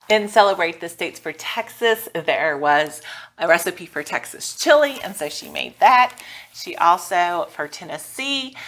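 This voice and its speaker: A woman's voice: lowish voice, fairly bright tone. A woman, a homeschooling mother hosting a casual video update in a chatty, informal, explanatory way. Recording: noticeable background noise.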